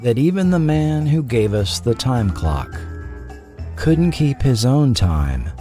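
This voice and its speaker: A man hosting and narrating a scripted documentary podcast, with a measured delivery and dramatic pauses. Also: mid-range voice, very animated, warm tone, faint music behind.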